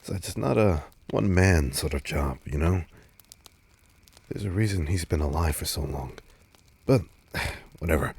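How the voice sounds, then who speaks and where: A man playing a laid-back, teasing, protective vampire on a date in an intimate audio roleplay, speaking casually with a husky, breathy voice. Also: deep voice, normal speed, pauses often, a little mellow, dry recording, full character voice. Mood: grave, candid.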